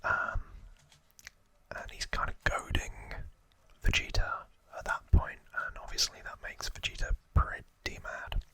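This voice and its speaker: A man hosts ASMR in a constant breathy whisper with a bright, crisp hissing edge. He tells trivia informally, feeling his way through it from memory. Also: measured pace, no room sound. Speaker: ASMR host.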